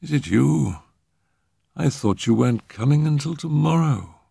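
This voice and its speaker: A man's voice: medium pitch, very animated, unhurried, vocal fry, husky, no room sound, studio quality, pitched above the performer's own voice, heavily acted. A man voicing a bewildered, well-bred young Englishman in a storybook, boyish and a little weak. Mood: weak, puzzled.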